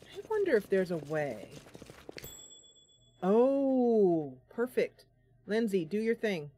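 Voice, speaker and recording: A woman's voice: mid-range voice, big pitch swings, takes it slowly, mellow, a little hiss or hum. A woman giving casual, chatty game commentary, thinking aloud in a playful way. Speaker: gameplay host and role-player. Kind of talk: casual game commentary with voiced role-play. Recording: game music behind. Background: game music.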